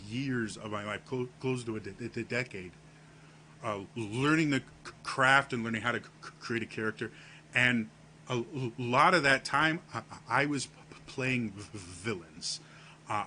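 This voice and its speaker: A man talking casually to camera on his vlog, with a deep voice and a heavy stutter that repeats the first sounds of words. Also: sharp tone, a little hiss or hum. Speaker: vlogger.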